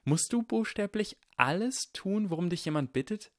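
A shy schoolboy from an audiobook, acted by a man. He is sincere and plainspoken, a little halting, in a slightly raised and expressive voice. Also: sits high, no echo, studio quality, voice pushed up. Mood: blunt.

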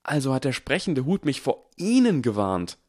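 A defiant young schoolboy wizard talks with a clipped, deadly calm, as a male narrator puts him on for an audiobook. The voice sits higher and louder than the narration around it. It is recorded close and dry. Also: moderate pace, dry recording, natural voice.

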